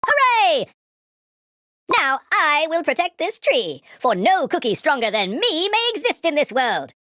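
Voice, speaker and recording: A pompous little fool of a king in a cartoon game. The voice is high, bright and wildly animated, petulant and full of childish arrogance. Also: unhurried, no room sound, studio quality, heavily acted. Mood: triumphant. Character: arrogant, childish, foolish puppet-play cookie king.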